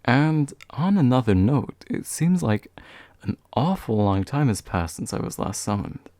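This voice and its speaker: A young man acting as a courteous, gentle demon of envy in a soft-spoken ASMR roleplay, formal and soothing with occasional hesitation. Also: medium pitch, very animated, a little slow, no echo, clean studio sound. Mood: formal, curious. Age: young adult. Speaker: voice actor.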